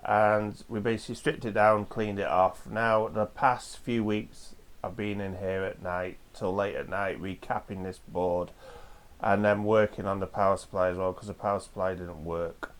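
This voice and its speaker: A hoarse man with a cold, hosting a casual repair walkthrough in a home workshop, explaining each step in a chatty, rambling way. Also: fairly low pitch, steady tone, normal speed. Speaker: host of a retro computer repair channel.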